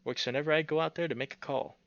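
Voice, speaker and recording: A man's voice: fairly high pitch, steady tone, fast talker, vocal fry, a bit bright, no room sound, voice pushed up. An old bear voiced by a male streamer talks in a folksy, friendly, offhand way, like a rural stranger in a visual novel. The recording is dry and a touch muffled. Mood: helpful, insistent. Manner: folksy, offhand country phrasing.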